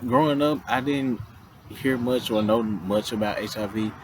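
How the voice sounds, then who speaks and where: A man, talking in a relaxed, conversational way about his story. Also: fairly low pitch, moderate pace, slight background noise. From Mississippi, US. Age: 20s. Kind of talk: interview testimony.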